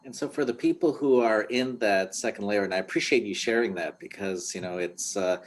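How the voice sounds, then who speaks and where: A man's voice: lowish voice, brisk, slight background noise. A middle-aged man from the American Midwest, interviewing a guest in a friendly, easygoing way with fillers. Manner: friendly, laughs easily, fillers. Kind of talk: interview conversation.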